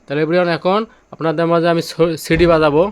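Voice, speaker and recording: A man's voice: a little high, flat delivery, quick pace, clean tone. A man presenting goods for sale in an informal demonstration video, with repetitive patter that keeps urging viewers to look. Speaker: seller presenting used car stereo gear.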